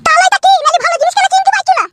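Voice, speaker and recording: Woman doing a shrill lecturing cartoon aunt. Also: fairly even intonation, very fast, a bit bright, no room sound. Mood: indignant. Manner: lecturing, indignant. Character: protective meddling aunt, older woman. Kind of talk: cartoon comedy dubbing.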